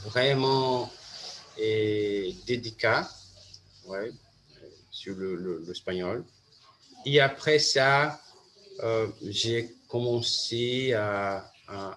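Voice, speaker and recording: A Brazilian man whose first language is Portuguese, hosting a casual chat in French and pausing often to find his words. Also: mid-range voice, fairly bright tone, slight background noise, low-quality recording. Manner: halting, many restarts and fillers. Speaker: host.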